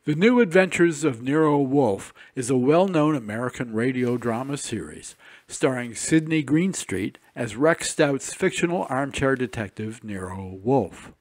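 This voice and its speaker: A man's voice: medium pitch, lively intonation, unhurried, no echo. A man reading a scripted introduction as a radio host. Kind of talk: scripted narration.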